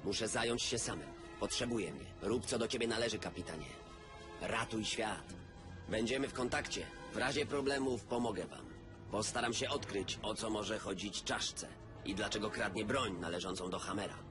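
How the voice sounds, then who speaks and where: A male actor plays a self-reliant cartoon hero who plans things out. His voice is fairly low and his delivery serious and measured. Music is clearly heard underneath. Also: close to an everyday voice. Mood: sober. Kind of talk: animated series dub. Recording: music behind.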